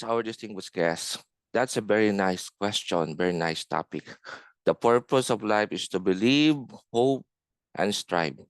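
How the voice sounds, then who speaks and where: Man, earnest impromptu speech, slow pace, smooth clear voice, dry video-call sound. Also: fairly low pitch, dry recording. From Philippines. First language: Filipino. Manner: earnest, measured, rising in emphasis on key words. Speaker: club member giving an impromptu speech.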